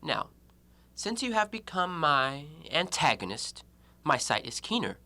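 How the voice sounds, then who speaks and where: Actor as scornful, lively-voiced educated man. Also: fairly high pitch, full character voice. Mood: resolved, accusing. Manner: precise, deliberate, argumentative diction. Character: educated, coolly logical man, scornful and self-righteous. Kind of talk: audio play acting.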